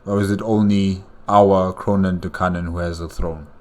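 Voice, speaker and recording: A man hosting a reaction video, musing casually and thinking out loud. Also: sits low, flat delivery, moderate pace. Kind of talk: casual commentary.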